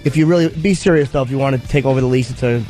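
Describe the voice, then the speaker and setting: A man's voice: mid-range voice, rapid-fire, music in the background. A man co-hosting a radio show, chatting casually about sports and studio business.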